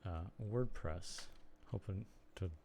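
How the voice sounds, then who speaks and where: A young man with a Chicago accent, chatting casually and haltingly to viewers on a live stream from his computer desk. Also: low voice, creaky, dark tone, slight background noise. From Chicago area, United States. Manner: rambling, halting, lots of ums and restarts. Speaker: streamer and blogger.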